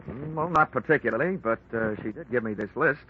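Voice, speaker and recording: Actor as a dry-witted American private eye narrating, vintage radio hiss. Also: male voice, mid-range voice, fairly quick, close to an everyday voice. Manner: dry, wisecracking. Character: wisecracking American insurance investigator. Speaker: narrator and lead. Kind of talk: 1940s radio acting. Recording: noisy lo-fi old radio recording.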